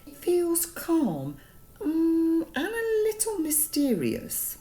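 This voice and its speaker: Lady putting on a mango fella, curious n careful, slow wondering voice, super expressive, bedtime story. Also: very high voice, pitched above the performer's own voice, heavily acted. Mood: calm wonder.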